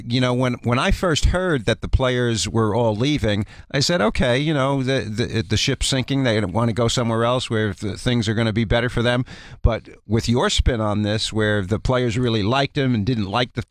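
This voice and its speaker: A man giving conversational sports analysis as a radio guest, explaining things in a halting way. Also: medium pitch, no room sound. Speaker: guest college basketball analyst. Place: radio studio.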